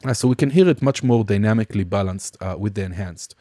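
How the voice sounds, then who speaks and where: A man teaching music production in a casual software demonstration, explaining informally and somewhat hesitantly step by step. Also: fairly low pitch, fast talker. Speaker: music production tutorial instructor.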